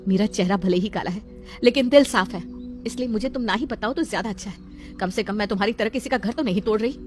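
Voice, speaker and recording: Casting: female performer as wronged daughter-in-law, sorrowful, proud and earnest, storybook melodrama over clear music. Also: mid-range voice, fast, natural voice. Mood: hurt, reproachful. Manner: reproachful, earnest.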